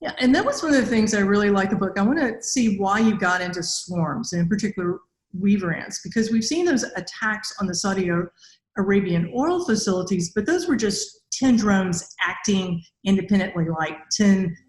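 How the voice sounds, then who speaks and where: A woman's voice: medium pitch, lively intonation, normal speed. An older woman hosting a conversational podcast interview as a robotics researcher. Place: indoor room with bare walls. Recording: echoey room on video call.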